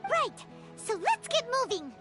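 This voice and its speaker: Tiny fairy sidekick, game character, extremely high squeaky childlike voice, excitable, inquisitive, wildly swooping intonation. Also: female voice, unhurried, loud music behind the voice, exaggerated voice. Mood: eager. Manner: blunt, exclamatory, inquisitive. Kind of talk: English video game voice acting.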